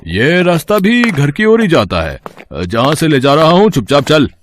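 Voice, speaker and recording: A man's voice: fairly high pitch, sing-song, normal speed, slight background noise. A man playing a menacing street goon in a cartoon moral story, threatening and demanding. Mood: gruff, menacing. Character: menacing street goon who deals in children. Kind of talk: Hindi animated moral story acting.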